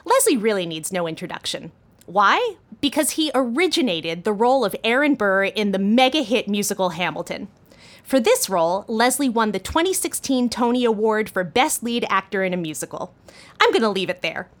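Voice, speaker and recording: Lively, upbeat woman hosting an interview. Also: mid-range voice, moderate pace. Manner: upbeat, polished question-asking. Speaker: interview host.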